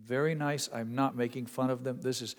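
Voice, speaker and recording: Folksy Indiana preacher in a reverberant church. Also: male voice, medium pitch, fairly even intonation, fairly quick. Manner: earnest, conversational preaching with folksy asides. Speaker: pastor. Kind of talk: sermon. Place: church sanctuary. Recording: long reverb of a church hall.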